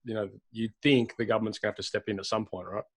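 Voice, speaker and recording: This is a man hosting a podcast panel discussion. His voice sits fairly low. He asks curious, meandering questions, full of "I mean" and "you know.". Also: steady tone, quick pace, husky. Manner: curious, rambling questions with many fillers. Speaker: podcast host.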